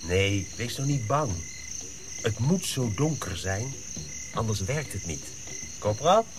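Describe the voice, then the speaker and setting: Earnest, expressive army adjutant. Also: male voice, lowish voice, a little slow, background noise. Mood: kindly, playful. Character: earnest, principled Dutch colonial army adjutant. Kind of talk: radio drama acting.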